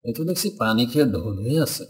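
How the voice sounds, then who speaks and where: Animated greedy villain man, voiced by an actor. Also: mid-range voice, a little fast, lightly acted. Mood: scheming, greedy. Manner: exclamatory, muttering to himself, theatrical. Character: greedy, callous, lecherous villager and murderer. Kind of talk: horror cartoon voice acting.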